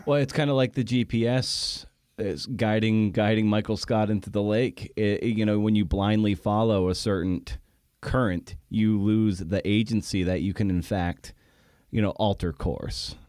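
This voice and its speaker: Mellow, low-voiced man, halting. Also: fairly even intonation, clear, resonant tone, dry recording, clean studio sound. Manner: halting, thinks aloud in meandering sentences. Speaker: podcast host and interviewer. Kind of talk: casual interview conversation.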